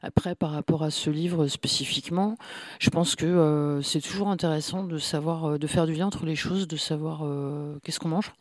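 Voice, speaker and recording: A man's voice: on the high side, fairly even intonation, moderate pace, no echo. A man who is a comic book author, talking in a casual interview with many fillers and restarts. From France.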